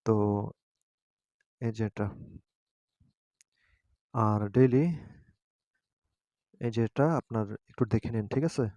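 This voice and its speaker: A man's voice: mid-range voice, steady tone, warm tone, no room sound, dull audio. A man hosting an informal step-by-step app tutorial, speaking hesitantly and often checking that listeners follow. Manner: hesitant, halting, often seeking agreement. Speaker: tutorial host.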